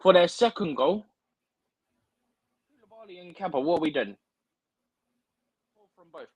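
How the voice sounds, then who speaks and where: A man's voice: a little high, lively intonation, a little slow, poor audio. A man on a fan livestream giving opinionated, rambling football analysis, often checking in with "right?". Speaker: guest pundit on a fan livestream. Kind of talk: casual football chat. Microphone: remote livestream mic, drifting in and out.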